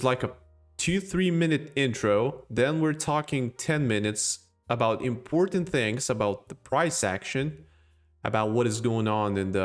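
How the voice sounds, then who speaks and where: A man with a non-native English accent hosting a casual crypto live stream, joking and rambling as he answers chat. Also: on the low side. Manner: rambling, joking, with laughs and restarts.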